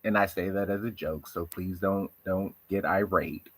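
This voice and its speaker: A man's voice: deep voice, steady tone, normal speed. A man from the United States giving a reflective monologue on social issues, asking rhetorical questions. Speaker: commentator.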